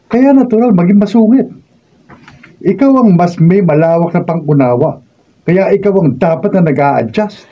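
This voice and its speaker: A man's voice: high voice, animated, normal speed. A Filipino man playing a reproachful husband in a radio drama, chiding and insistent. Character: reproachful husband siding with his mother. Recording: dull, muffled sound.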